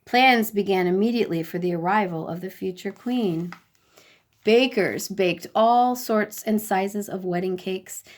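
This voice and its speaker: A woman storyteller reading a children's bedtime story in a deliberate, expressive way. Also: medium pitch.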